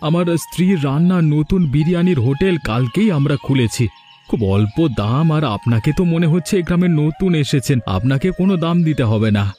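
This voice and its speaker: A man's voice: a little high, lively intonation, a little fast, mellow, close to an everyday voice. A humble cartoon village shopkeeper acted by a man, generous and welcoming. He talks in a friendly way, with light music in the background. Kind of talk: cartoon dialogue.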